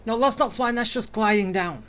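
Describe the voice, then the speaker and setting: A game streamer giving casual playthrough commentary in muttered, deadpan asides. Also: mid-range voice, brisk. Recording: game music behind the voice.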